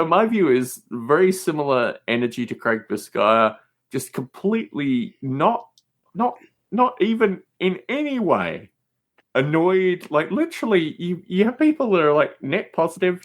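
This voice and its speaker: A man's voice: on the high side, very animated, normal speed. A man in his forties talking casually as a podcast guest, emphatic and given to repeating phrases for stress. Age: 40s.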